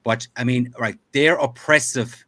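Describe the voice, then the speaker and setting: A man's voice: mid-range voice, fairly even intonation, measured pace, pushed and bright, dry recording. A man co-hosting a casual political livestream, laid-back and agreeable, who swears casually. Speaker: co-host.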